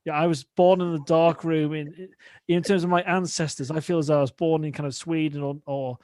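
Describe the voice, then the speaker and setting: A man's voice: fairly high pitch, steady tone, brisk, a little mellow, no echo. Chatty man hosting a podcast. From United Kingdom. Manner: rambling, repeats words while thinking aloud. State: tired after a day of shooting. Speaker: podcast host. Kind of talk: casual remote interview chat.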